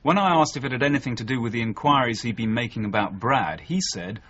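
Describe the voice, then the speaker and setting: An actor playing an earnest, understated British detective sergeant in a 1980s radio drama, speaking in a measured, dutiful way. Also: male voice, medium pitch, a bit bright, lightly acted. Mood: businesslike, reporting. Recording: dull, noisy radio broadcast.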